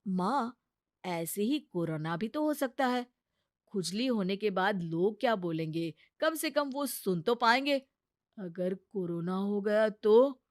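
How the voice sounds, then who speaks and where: A woman's voice: medium pitch, lively intonation, slow, pitched below the performer's own voice. A woman playing a mild, teasing young husband in a cartoon, hesitant and reasoning. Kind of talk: cartoon family comedy acting.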